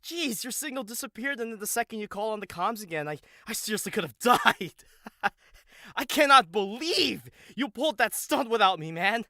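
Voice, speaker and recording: A woman playing an excitable, affectionate young male mechanic in an audio drama, effusive and slangy. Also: fairly high pitch, normal speed, no room sound, studio quality. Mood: relieved, excited.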